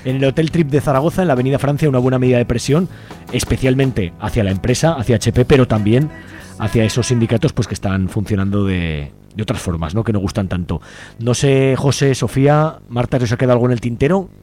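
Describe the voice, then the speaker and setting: A man's voice: medium pitch, quick pace, dark tone, soft background music. A man with an accent from Spain hosting an informal radio interview, conversational and often checking for agreement. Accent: Spanish from Spain. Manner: frequently seeks agreement with tag questions.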